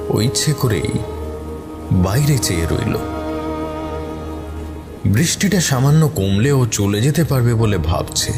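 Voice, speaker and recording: A man reading a scripted horror story as its narrator. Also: medium pitch.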